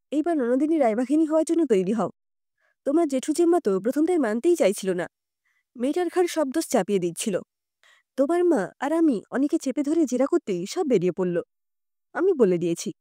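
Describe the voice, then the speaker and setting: A woman voicing a kindly but firm old grandmother in an audio story, teasing and gently admonishing. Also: sits high, no room sound, clean studio sound. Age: older. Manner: affectionate, admonishing, plain-spoken.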